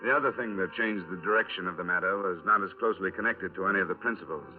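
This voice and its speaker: A man's voice: sits low, very fast, close to an everyday voice. An actor playing a terse, matter-of-fact insurance investigator who narrates his case in a 1950s radio crime drama. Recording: restored 1950s radio, narrow band, faint music.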